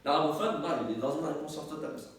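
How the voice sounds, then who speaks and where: A man's voice: a little high, very fast. A man whose first language is Arabic, teaching a small group informally; he repeats words as he searches and asks his own questions, then answers them. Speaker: teacher, institute director. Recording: echoey room, distant mic, faint noise.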